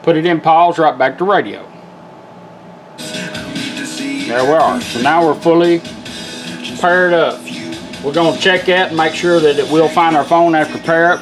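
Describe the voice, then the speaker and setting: A man's voice: a little high, rough recording. A man giving an informal hands-on product tutorial in a folksy, step-by-step way. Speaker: product demonstrator.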